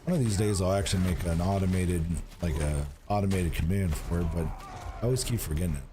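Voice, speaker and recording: A man's voice: deep voice, fast, noisy background. A man streaming a game and chatting to viewers, rambling with lots of fillers and restarts while getting over a cold. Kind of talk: casual gaming livestream chat.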